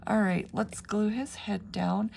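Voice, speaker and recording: A middle-aged woman, raspy from the flu, chatting her way through a paper crafting tutorial with plenty of ums. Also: mid-range voice, fairly even intonation, takes it slowly, a little mellow, slight background noise. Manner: chatty, rambling with frequent ums. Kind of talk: casual step-by-step craft tutorial.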